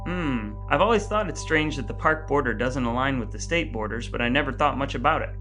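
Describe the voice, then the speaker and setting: A man's voice: medium pitch, animated, brisk, pushed and bright, soft background music. A man hosting a podcast in a scripted yet conversational style, with playfully grandiose, wordy phrasing. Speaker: podcast host.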